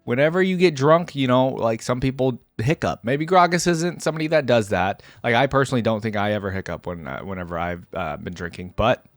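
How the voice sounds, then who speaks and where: A man's voice: mid-range voice, a little fast, hardly any pauses, no echo, clean studio sound, soft background music. A young man giving casual reaction commentary, thinking aloud and addressing viewers in a musing, tentative way. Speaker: reaction video host.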